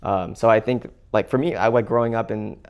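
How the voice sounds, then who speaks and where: A young man from the Seattle area, talking as an interview guest, halting with many fillers and restarts. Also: fairly even intonation, highs cut off. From Seattle area, United States. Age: young adult. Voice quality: gravelly low voice. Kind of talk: casual conversation.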